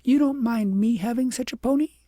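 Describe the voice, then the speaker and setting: A woman's voice: on the high side, brisk, dark tone, no room sound, clean studio sound, voice pushed up, natural voice. A reader voicing a kind, sweet, magical little girl in a storybook. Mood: questioning.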